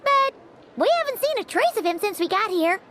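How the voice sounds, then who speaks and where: A woman voicing a perky, inquisitive little childlike companion in a video game, squeaky and excitable. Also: brisk, background noise. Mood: earnest.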